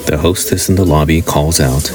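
A man's voice: a bass voice, fairly even intonation, a little fast, a crowd in the background. A man narrating a spooky radio play in a solemn storytelling style. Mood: ominous storytelling.

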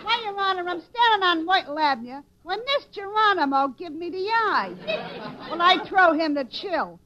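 An actress playing a brash, slangy young Brooklyn woman in 1940s radio comedy, sharp and combative with a Brooklyn accent. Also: very high-pitched, expressive, normal speed, some chatter behind, exaggerated voice. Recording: muffled old-time radio recording.

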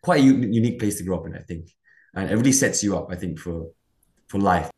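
Low-voiced man from Malaysian Borneo, roomy. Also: expressive, quick pace, a little mellow. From Sarawak, Malaysian Borneo. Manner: rambling, peppers speech with "you know" and "sort of". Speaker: guest. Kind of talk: conversational interview answers. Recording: roomy echo.

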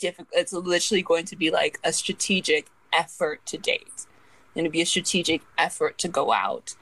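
A woman's voice: on the low side, fairly even intonation, normal speed, a bit bright, poor audio. A woman in her twenties chatting casually as a podcast co-host, rambling and filling her sentences with "like". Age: 20s. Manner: rambling, leans heavily on "like". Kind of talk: casual chat.

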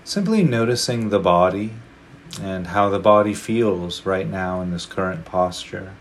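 Deep-voiced guy guiding a meditation, slow and soft with long pauses, faint hiss behind. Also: lively intonation. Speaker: meditation teacher. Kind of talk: guided meditation.

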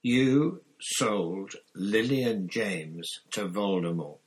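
A man's voice: lowish voice, very slow. Guy doing an angry vengeful storybook man, snarly, roaring accusations, out for revenge. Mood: furious accusing. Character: furious vengeful man confronting the traitor who betrayed friends.

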